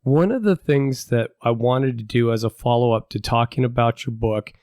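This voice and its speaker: A man's voice: mid-range voice, moderate pace, a little mellow, dry recording, clean studio sound. An older man hosting a podcast, a little stuffy and plugged up from a head cold, speaking in an upbeat, friendly way. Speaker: podcast host. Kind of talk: casual podcast interview.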